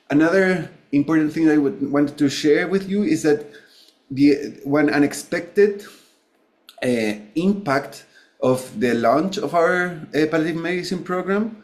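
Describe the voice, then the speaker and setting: Higher-pitched man presenting haltingly. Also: a little mellow. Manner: halting, self-correcting. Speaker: academic physician, guest speaker. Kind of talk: webinar presentation.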